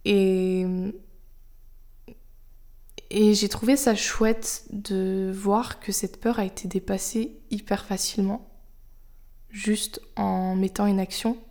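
A young woman hosting a podcast, speaking French as her first language in a personal, reflective monologue. She talks softly and confidingly, thinking aloud as she goes, sometimes dropping almost to a whisper. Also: mid-range voice, fairly even intonation, takes it slowly, long pauses. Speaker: podcast host.

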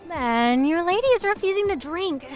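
High-voiced, brash party girl. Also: animated, normal speed, faint music behind. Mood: teasing, exasperated. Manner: brash, casual. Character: brash young party girl, pushy and playful. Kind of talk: audio drama character acting.

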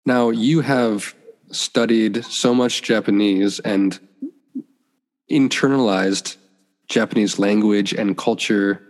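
Low-voiced man interviewer, friendly prompts, echo trailing in the room. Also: takes it slowly. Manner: encouraging, paraphrases and completes the guest's answers. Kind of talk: conversational interview. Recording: long reverb.

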